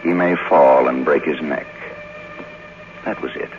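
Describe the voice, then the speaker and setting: Very deep, wry hard-boiled man. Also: a little slow, faint music behind. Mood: wry narration. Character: cynical, wry kept man narrating his own story. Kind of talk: 1950s radio drama narration and dialogue. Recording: dull 1950s radio broadcast recording.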